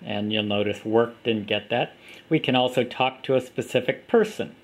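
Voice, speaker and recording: A man giving a plain, unhurried walkthrough of an app, recorded on a camera mic indoors at a table. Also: mid-range voice. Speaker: app developer demonstrating his app. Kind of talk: casual product demo.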